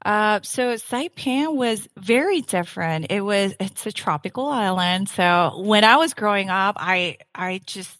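A woman from Korea speaks as a podcast guest in a medium-pitched voice. She tells personal stories candidly, often repeating words as she goes. The recording leaves a long reverb on her voice. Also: animated, a little slow, few pauses, a bit bright. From South Korea. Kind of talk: conversational personal storytelling.

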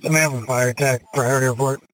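A man's voice: mid-range voice, steady tone, fast talker, fairly bright tone, dry recording, low-quality recording. A man speaking as a firefighting officer, giving terse, businesslike reports over a two-way radio. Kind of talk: procedural fireground radio report.

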